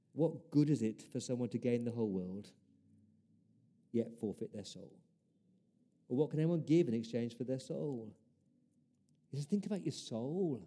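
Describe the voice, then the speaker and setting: A man's voice: mid-range voice, warm tone, husky. A man preaching a sermon as pastor, earnest, building his points through repeated rhetorical questions.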